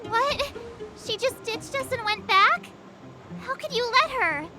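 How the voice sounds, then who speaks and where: A woman voicing a perky, excitable young girl adventurer in an anime-style video game. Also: very high voice, a little slow. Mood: indignant, curious. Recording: game music behind.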